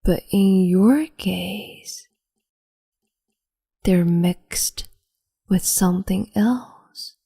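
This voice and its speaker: A woman voicing a cruel, capricious empress in an ASMR roleplay, speaking slowly and haughtily in a half-whisper close to the microphone. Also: medium pitch, gravelly, mellow, breathy edge, no room sound, heavily acted. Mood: haughty, taunting.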